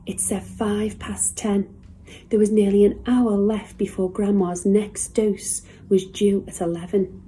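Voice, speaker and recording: A woman's voice: mid-range voice, flat delivery, measured pace, mellow, slight background noise. A woman from a school's staff reading a children's storybook aloud with expression.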